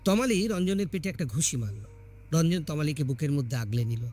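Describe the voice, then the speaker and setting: A man's voice: fairly high pitch, expressive, a little fast, darkish tone, soft background music. A man reading a scripted horror story as a dramatic narrator.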